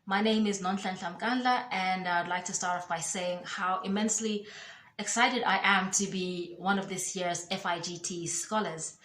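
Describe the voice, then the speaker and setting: Woman speaking with thoughtful pauses, roomy echo. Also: lowish voice, fairly even intonation, a bit bright. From born in the United Kingdom, raised in Zambia, Zimbabwe and Kenya. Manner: thoughtful, many filler pauses. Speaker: scholar introducing herself. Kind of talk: self-introduction video.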